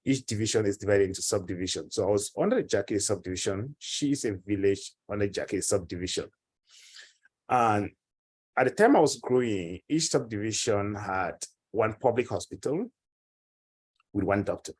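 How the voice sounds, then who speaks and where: A man from Cameroon giving a conference presentation, earnest and growing animated as he tells of his home village. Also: lowish voice, normal speed, breathy edge. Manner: earnest, grows animated telling personal stories. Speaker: consortium director and professor.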